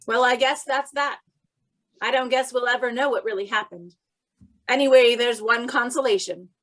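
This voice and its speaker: A woman's voice: on the high side, normal speed, lightly acted. A woman playing a resigned, sardonic police commissioner in an old-time radio drama, dry and matter-of-fact.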